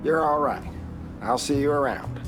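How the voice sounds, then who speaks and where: An actor playing an arrogant, condescending American senator in a video game cutscene, blunt and haughty in manner. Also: male voice, mid-range voice, normal speed, loud music behind the voice, lightly acted. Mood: pleased, scheming.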